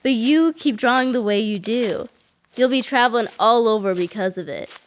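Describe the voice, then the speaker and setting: Woman playing a brash girl, highish voice. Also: normal speed, natural voice. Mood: fond. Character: brash, dreamy small-town country girl with a soft heart. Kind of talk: audio horror drama acting.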